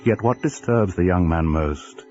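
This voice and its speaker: An actor as the grave, deliberate narrator of a radio ghost story, telling it with suspense. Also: male voice, low voice, darkish tone, faint music behind. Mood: ominous. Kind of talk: scripted dramatic narration of a horror story. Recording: dull lo-fi old radio recording.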